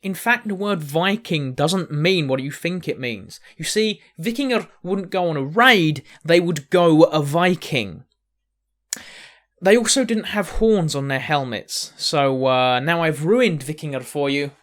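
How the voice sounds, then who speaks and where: A man's voice: on the high side, lively intonation, measured pace, fairly bright tone. Chatty man narrating history. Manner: chatty, jokey asides to viewers. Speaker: history channel host. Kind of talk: casual humorous history narration.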